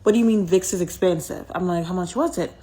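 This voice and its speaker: A woman's voice: fairly low pitch, quick pace, noisy background. A woman from South Africa telling a story to camera in a casual vlog, wry and incredulous. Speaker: vlogger.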